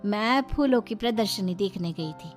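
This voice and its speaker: A woman voicing a meek, wistful, self-deprecating young daughter-in-law in a storybook tale, gently and earnestly. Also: mid-range voice, animated, normal speed, soft background music, close to an everyday voice. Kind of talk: acted storytelling.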